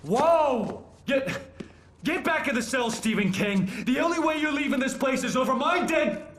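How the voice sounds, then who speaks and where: Volatile, sneering drunken federal agent, swinging pitch. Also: male voice, expressive, normal speed, fairly bright tone, slight background noise. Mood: furious, alarmed. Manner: taunting, mocking. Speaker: FBI agent antagonist. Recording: clear music behind voice.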